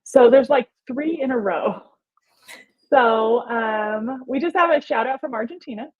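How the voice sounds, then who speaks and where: A woman hosting a live-stream Q&A, moving briskly between questions with upbeat reactions. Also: a little high. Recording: muffled lo-fi audio.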